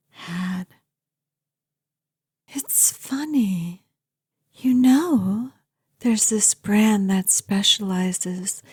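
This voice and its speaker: A woman playing a doting, obsessive ancient vampire girlfriend in an intimate ASMR roleplay, with a soft, breathy, often whispery and lingering delivery. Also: medium pitch, no room sound.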